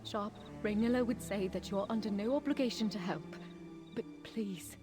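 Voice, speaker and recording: A woman's voice: mid-range voice, moderate pace, music in the background, lightly acted. An actress playing a worried, earnest woman who pleads for help in a video game. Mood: worried, regretful. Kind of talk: scripted video game dialogue.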